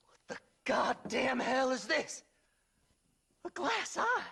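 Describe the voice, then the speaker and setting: Unhinged backwoods grave robber, rural American accent, very high creaky voice, manic muttering, game cutscene. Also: male voice, big pitch swings, slow, sharp tone, full character voice. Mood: despairing, outraged. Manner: muttering, stammering, cackling, folksy. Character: crazed, grimy male treasure-hunting grave robber, manic and unhinged. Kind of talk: video game cutscene acting.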